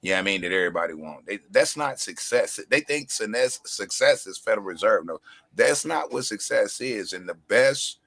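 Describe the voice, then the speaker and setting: Low-voiced man with a bright, forceful edge. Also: brisk, vocal fry, no room sound. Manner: blunt, animated, slangy. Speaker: panelist. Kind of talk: casual live-stream discussion.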